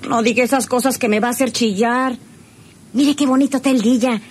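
An actress plays an innocent young Mexican ranch woman in an old radio serial. Her voice is fairly high and devoted in tone, with a little recording noise. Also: steady tone, fairly quick, close to an everyday voice. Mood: gentle, reassuring. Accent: rural Mexican. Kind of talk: old Spanish-language radio serial acting.

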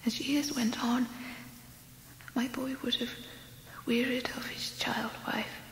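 An actress playing a frail, resigned, childlike young wife in a radio drama, whispery, with long reverb. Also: female voice, on the high side, level tone, takes it slowly, a little hiss or hum. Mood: weak, resigned. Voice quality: breathy, whispery.

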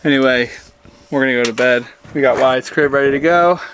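A man's voice: mid-range voice, a little fast, a bit bright, poor audio. Casual young man vlogging over background noise. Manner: laid-back, enthusiastic, informal slang. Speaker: travel vlog host. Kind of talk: casual chat to camera.

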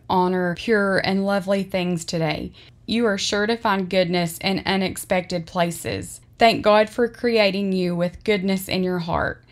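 A middle-aged woman with a Southern American accent, earnestly narrating her home vlog and reading a devotional. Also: medium pitch. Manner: earnest, heartfelt, plain-spoken. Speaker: vlogger.

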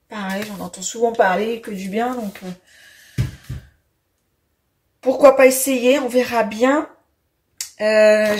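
A woman's voice: on the high side, a little mellow, slight background noise. Chatty young woman in her kitchen. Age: 20s. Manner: unhurried, chatty, many fillers and hesitations. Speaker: vlogger. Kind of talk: casual grocery haul chat. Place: bright home kitchen, indoors.

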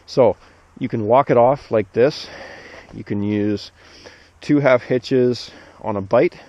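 A man, an arborist instructor, calmly walking through tying a knot step by step outdoors in the snow on a head-mounted camera. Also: mid-range voice, slow, no echo. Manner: steady, plain step-by-step explaining. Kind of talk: hands-on knot demonstration. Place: outdoors among snowy trees. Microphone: head-mounted action camera. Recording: dull lo-fi camera audio.

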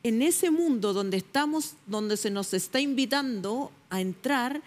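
A middle-aged woman journalist arguing her point on a television panel debate, emphatic and pausing often with fillers, recorded on a lapel mic in a studio. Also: fairly high pitch, expressive. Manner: emphatic, searching for words with many fillers.

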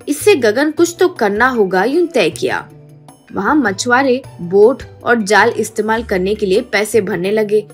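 A woman narrator reading a scripted story for an animated video. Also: medium pitch.

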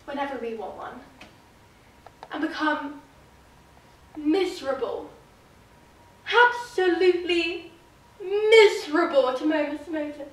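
A woman's voice: high-pitched, a bit bright. A woman playing an arch Victorian society lady. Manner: arch, drawn-out, savoring each phrase. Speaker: drama student performing a monologue. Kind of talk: theatrical monologue rehearsal. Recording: very echoey room, mic far off.

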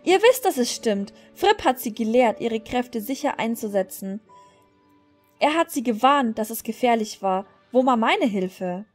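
A woman's voice: a little high, expressive, a little slow. Woman reading as a bitter, brooding young female rider. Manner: dramatic, brooding read-aloud confession. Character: bitter, guilt-ridden young woman rider in a fantasy horse game. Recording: music behind the voice.

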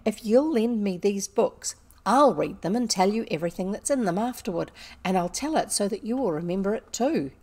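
A woman voicing a bright, imaginative schoolgirl in a storybook, earnest and deliberate, with old-fashioned kindness. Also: mid-range voice, expressive, creaky. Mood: excited.

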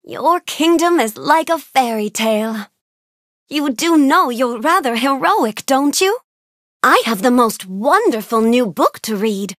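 A woman voicing a kind, bookish young fairy-tale princess in a video game, speaking politely and gently with wide-eyed wonder. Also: sits high, animated, a little slow, no echo, studio quality, heavily acted. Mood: wonder, admiring. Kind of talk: video game character voice clips.

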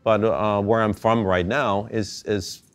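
Man with a low, measured voice. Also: fairly even intonation, soft background music. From Finland. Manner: thoughtful, halting, many restarts. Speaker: interview guest. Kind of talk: reflective interview answers. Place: indoor gallery space.

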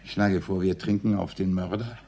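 A man's voice: low-pitched, steady tone, moderate pace, vocal fry, mellow. An actor playing a quarrelsome, witty clergyman in a radio crime play, sardonic, eloquent and mock-formal. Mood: dry, deliberating. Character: quarrelsome, witty clergyman card player, nicknamed Eminenz.

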